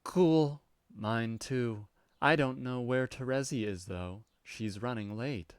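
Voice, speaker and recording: A man voicing a calm young female troll who talks in a plain, easygoing way. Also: mid-range voice, takes it slowly, smooth, no echo, clean studio sound, natural voice.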